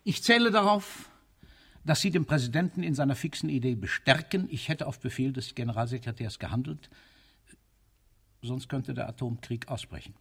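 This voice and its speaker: A husky, imperious military ruler. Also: male voice, medium pitch, normal speed, fairly bright tone. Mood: coolly argumentative. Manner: commanding, coolly argumentative, declamatory. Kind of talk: radio play acting.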